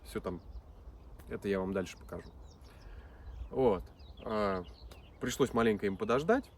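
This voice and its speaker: A male mechanic casually introducing his repair video, relaxed and rambling as he tells the story. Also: on the low side, normal speed, recorded from a distance, slight background noise. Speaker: auto mechanic, channel host.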